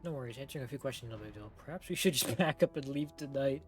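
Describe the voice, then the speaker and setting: A man's voice: mid-range voice, fast talker. Casual male streamer reacting over game music. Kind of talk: casual live reaction commentary.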